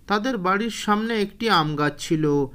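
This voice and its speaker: A man's voice: high voice, lively intonation, moderate pace, sharp tone, smooth. A man narrating a scripted cartoon fairy tale as the storyteller.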